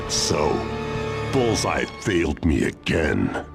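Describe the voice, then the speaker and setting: A man playing an imposing crime lord in a video game, formal, measured and commanding. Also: very deep voice, very animated, vocal fry, loud music behind the voice, heavily acted. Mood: cold displeasure. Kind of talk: video game cutscene acting.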